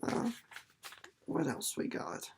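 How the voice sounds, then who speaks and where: A woman's voice: takes it slowly, darkish tone. A woman reading and informally discussing a children's novel, like a teacher reading aloud. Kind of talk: storybook narration and informal commentary.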